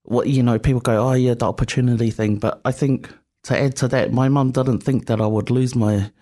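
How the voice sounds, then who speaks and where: A man's voice: mid-range voice, steady tone, brisk, dark tone. Easygoing New radio host, man. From New Zealand. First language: Samoan. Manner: thoughtful, easygoing, hesitant. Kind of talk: casual radio chat. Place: community radio studio.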